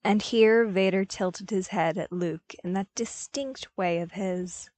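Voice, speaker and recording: A woman reading scripted fiction as an audiobook narrator, with expressive storytelling delivery. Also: mid-range voice, takes it slowly, dry recording.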